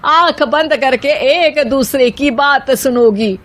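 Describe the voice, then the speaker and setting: A woman's voice: high-pitched, slow pace, a bit bright, pitched above the performer's own voice. A woman voicing a bossy, exasperated mother-in-law in a cartoon story, commanding and scolding. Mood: stern. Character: bossy, exasperated mother-in-law ordering her household around. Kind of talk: animated moral-story character voice.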